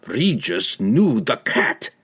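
A man's voice: mid-range voice, huge pitch swings, takes it slowly, voice pushed up, heavily acted. A man voicing a cruel, imperious guildmaster villain in a fantasy audiobook, theatrical and commanding, with menace in his delivery. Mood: outraged. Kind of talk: fantasy audiobook character acting.

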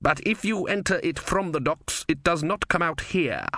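Man playing a menacing inquisitor, cold, measured, precise, musing, audiobook villain. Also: mid-range voice, animated, sharp tone, no room sound, studio quality, full character voice. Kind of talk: audiobook character voice.

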